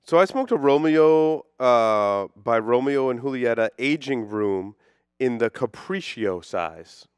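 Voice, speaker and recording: A man's voice: medium pitch, lively intonation, slow pace, clear, resonant tone, dry recording. A man co-hosting a casual podcast, giving earnest, emphatic opinions. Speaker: podcast co-host. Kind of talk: casual chat reviewing cigars.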